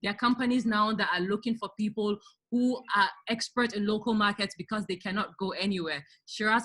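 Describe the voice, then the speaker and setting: A woman speaks as a webinar host with a mid-pitched voice. She gives motivational career advice in an emphatic manner, often addressing her listeners directly with rhetorical questions. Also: a bit bright, poor audio. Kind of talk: motivational advice to an online audience.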